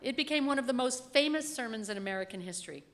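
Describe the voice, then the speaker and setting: A woman's voice: on the high side, animated, fast, a bit bright. Woman reading a sermon in a reverberant hall. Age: middle-aged. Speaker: lay reader delivering a written sermon. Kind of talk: sermon read aloud from a script. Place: church pulpit in a large reverberant room. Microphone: gooseneck lectern microphone.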